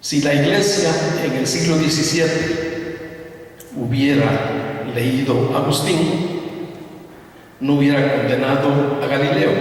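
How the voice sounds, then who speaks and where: A man with a Mexican accent giving a slow, deliberate academic lecture, asking rhetorical questions. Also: medium pitch. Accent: Mexican Spanish. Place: lecture hall. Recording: echoey hall from far off.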